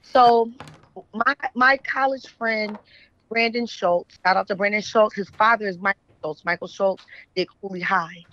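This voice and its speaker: A woman's voice: mid-range voice, fairly even intonation, moderate pace, a bit bright. American woman guest chatting, opinionated, says right a lot, kinda dull lo-fi audio. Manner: opinionated, frequent checking tags like "right?" and "you know what I'm saying". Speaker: guest, author and journalist. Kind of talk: casual conversational interview talk.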